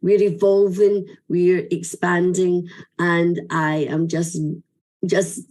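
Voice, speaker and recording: Woman, Scottish accent, lowish pitch, heartfelt thanks speech, effusive, uplifting manner. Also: little pitch movement, takes it slowly, a little mellow. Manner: earnest, effusive, inspirational. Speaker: speaker giving a vote of thanks.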